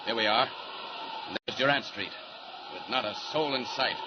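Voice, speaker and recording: An actor with an American accent playing a confident, bold superhero thinking aloud in declamatory 1940s radio style. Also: male voice, mid-range voice, normal speed, lightly acted. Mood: confident, purposeful. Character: confident, bold male superhero talking to himself. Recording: noisy, muffled 1940s radio recording.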